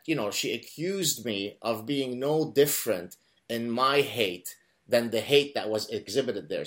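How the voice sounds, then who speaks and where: A man whose first language is Arabic, hosting an interview and telling a story in an animated, emphatic way. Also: medium pitch. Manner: animated, emphatic, with self-corrections. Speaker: host.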